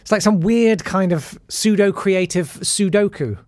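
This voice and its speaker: A man's voice: high-pitched, unhurried, darkish tone. A man hosting a casual online monologue, rambling and self-deprecating with lots of restarts. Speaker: YouTube host.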